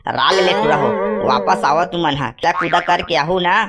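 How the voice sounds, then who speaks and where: A man's voice: a little high, moderate pace, over a noisy crowd, lightly acted. A man playing a grumbling, nagging village father in a cartoon comedy, speaking with a Chhattisgarhi accent and making threats. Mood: exasperated. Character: grumbling, scolding village father of two boys.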